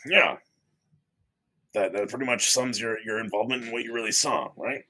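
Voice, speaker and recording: A man hosting a casual panel discussion, talking in a halting, sardonic way. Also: medium pitch, sharp tone. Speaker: host of a panel talk show.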